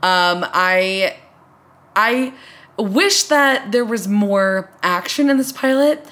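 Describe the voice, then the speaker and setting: A woman's voice: mid-range voice, lively intonation, slow pace, fairly bright tone. A woman hosting a casual chat, talking informally and explaining things as she goes. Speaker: podcast host.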